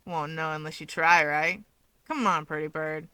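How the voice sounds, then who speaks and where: A woman voicing a smug, sardonic young man who likes to needle his rival, deadpan and dismissive, in a fan fiction audiobook. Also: deep voice, moderate pace, no echo, pitched below the performer's own voice. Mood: teasing.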